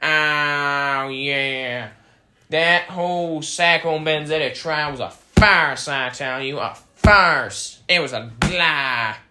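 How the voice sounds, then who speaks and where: A man's voice: a little high, slow pace, pushed and bright, slight background noise, heavily acted. A man playing an indignant, hot-tempered anarchist being interviewed in an amateur mock 1920s radio show, ranting emphatically.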